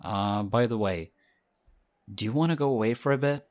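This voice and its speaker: A man voicing a friendly, modest young male team captain in an audio roleplay, talking in a relaxed, chatty way. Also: medium pitch, moderate pace, natural voice. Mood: excited, friendly.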